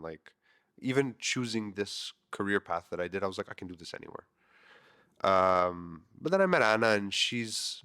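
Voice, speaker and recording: Stuffed-up nasal guy, kinda low voice, just rambling on his podcast and swearing a lot. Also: fairly bright tone. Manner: rambling, candid, swears freely. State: congested, nasal. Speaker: podcast host. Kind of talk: casual monologue.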